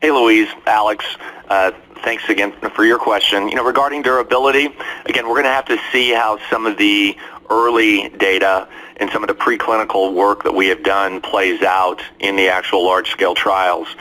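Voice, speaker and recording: Exec guy on earnings call, deep-ish flat voice, sharp edge, careful and halting. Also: low-quality recording. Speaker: company executive.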